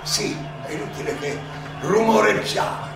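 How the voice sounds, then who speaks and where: Old greek philosopher defending himself onstage, ironic n indignant, keeps repeating himself, far off in big echo, music behind. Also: male voice, high-pitched, lively intonation, vocal fry, full character voice. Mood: insistent, mocking. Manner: halting, rhetorical, repeats phrases, interjects questions. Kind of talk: theatrical stage monologue. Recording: very echoey, distant miking, music behind.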